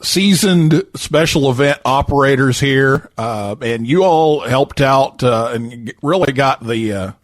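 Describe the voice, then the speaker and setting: A male host speaks slowly and unhurriedly, peppering his talk with "uh" and light jokes. The recording is dry and close with no room sound. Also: mid-range voice, dry recording. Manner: hesitant, many fillers, joking. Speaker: show host. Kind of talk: casual interview.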